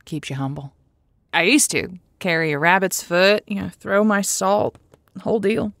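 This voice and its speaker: A woman's voice: medium pitch, normal speed, fairly bright tone, dry recording, clean studio sound, natural voice. A woman playing a sympathetic, folksy advice host on a radio drama, with a reassuring way of talking. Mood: wry, reflective. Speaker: radio call-in show host. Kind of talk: scripted radio advice show.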